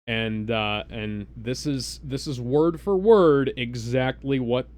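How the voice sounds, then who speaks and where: A man hosting a live stream, casually explaining things in a halting, rambling way with mock-serious asides. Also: mid-range voice, a little mellow, slight background noise. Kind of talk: casual live introduction, explaining party game rules.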